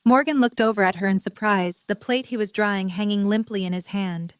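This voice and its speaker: Casting: female narrator for scripted story prose, medium pitch, very dry studio-style sound. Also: brisk, clear, resonant tone, no echo.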